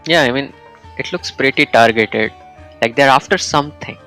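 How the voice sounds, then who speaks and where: A man giving casual, halting gameplay commentary as a streamer, musing in broken phrases. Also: medium pitch, bright, forceful tone, soft background music. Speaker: gaming streamer.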